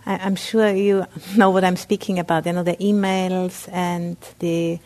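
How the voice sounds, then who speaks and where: A woman from Austria with an Austrian German accent, a Buddhist nun giving a dharma talk at a meditation center, speaking haltingly with many fillers and restarts. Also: fairly low pitch, flat delivery, darkish tone.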